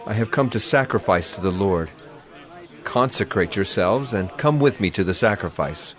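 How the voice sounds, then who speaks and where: A man's voice: on the low side, lively intonation, moderate pace, natural voice. An actor playing a grave, resolute male prophet with a formal manner in a dramatized Bible story. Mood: firm. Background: music.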